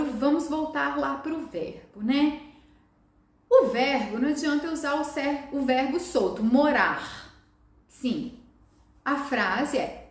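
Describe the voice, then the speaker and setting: A woman teaching Portuguese in a relaxed video lesson, drawing out each example word slowly and carefully. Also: high-pitched, roomy sound. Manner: slow, careful, stretches out example words.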